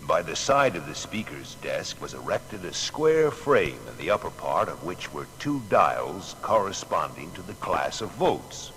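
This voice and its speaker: Earnest plain-spoken inventor, low pitch, lively intonation, measured recollection, audio biography drama. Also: male voice, heavily acted. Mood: explanatory, proud. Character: Thomas Edison, earnest plain-spoken male American inventor, reminiscing. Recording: long hall reverb, faint noise.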